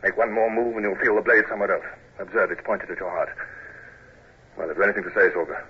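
An actor playing a proud, awkward British naval commodore in a radio drama, speaking formally with clipped, sometimes stammering delivery. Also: male voice, fairly low pitch, fast, slightly rough. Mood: tense, urgent. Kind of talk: 1950s radio drama acting and narration. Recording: muffled, noisy 1950s radio recording.

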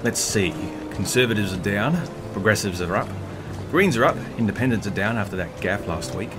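A man plays a radio pundit with a low, wry voice who reads poll results in a dry, unhurried way. A clear music bed plays behind him throughout. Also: lively intonation, natural voice. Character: male radio pundit reading poll numbers.

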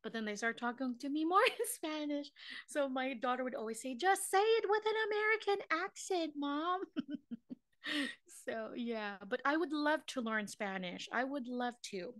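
A woman's voice: sits high, animated, moderate pace, a bit bright, dry recording, clean studio sound. A middle-aged woman from the Philippines teaching a live online drawing class, chatting with viewers, laughing at her own drawings and singing bits of songs as she works. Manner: chatty and self-mocking, laughs often, sings snatches of songs while drawing. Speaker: online craft class instructor.

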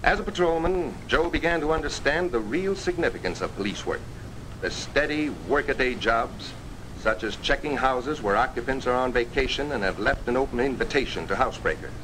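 A man reading scripted 1950s training film narration in a formal, earnest, measured storytelling manner. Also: medium pitch, animated. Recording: noisy 1950s film soundtrack.

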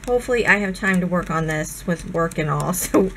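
Woman vlogger, a bit hoarse, low-pitched, chatty and gushing, faint hiss behind. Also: brisk, a bit bright. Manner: gushing, chatty, many ums. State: hoarse, losing her voice. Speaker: crafting vlogger. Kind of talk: casual haul video chat.

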